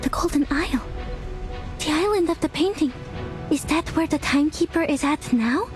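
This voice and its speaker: A woman's voice: sits high, normal speed, lightly acted. A woman playing an earnest, inquisitive young investigator in a video game. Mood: earnest, curious. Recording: loud music behind the voice.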